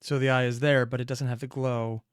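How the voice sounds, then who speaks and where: A man hosts a reaction video with a mid-pitched voice. He muses aloud and analyses off the cuff, recorded close and dry. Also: little pitch movement, a little fast, clean tone, no room sound. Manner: musing, thinking aloud.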